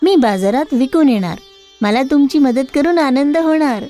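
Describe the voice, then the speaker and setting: Kindly young goddess for a storybook cartoon, played by a woman; high voice, reassuring yet firm, music behind. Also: expressive, moderate pace, dark tone. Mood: cheerful. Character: kindly goddess disguised as a young bangle seller.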